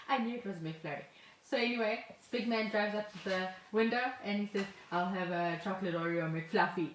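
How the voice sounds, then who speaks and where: A woman's voice: medium pitch, normal speed. A woman co-hosting a podcast in an informal, playful, chatty style. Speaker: podcast co-host. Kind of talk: casual conversational chat. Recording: echoey room, faint noise.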